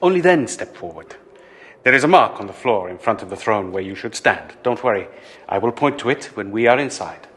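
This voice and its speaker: An actor playing an eager, deferential palace guard in an audio drama, speaking politely, formally and carefully. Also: male voice, on the low side, animated, fairly quick, fairly bright tone, slight background noise.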